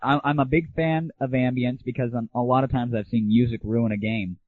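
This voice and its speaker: A man's voice: medium pitch, steady tone, fairly quick, no room sound. A man hosting an internet audio show, giving casual, opinionated solo commentary with irreverent, crude humor. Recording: thin phone-like early internet audio.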